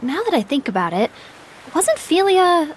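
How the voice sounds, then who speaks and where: A woman acting a gentle young friend in a video game cutscene. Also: sits high, big pitch swings, normal speed, a little hiss or hum, low-quality recording. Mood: thoughtful.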